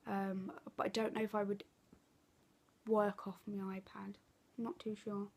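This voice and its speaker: A woman's voice: mid-range voice, flat delivery, unhurried, darkish tone. A woman with an Essex accent and a blocked, stuffy nose, chatting casually to camera as a craft vlogger, rambling with lots of ums and self-corrections. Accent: Essex English. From England.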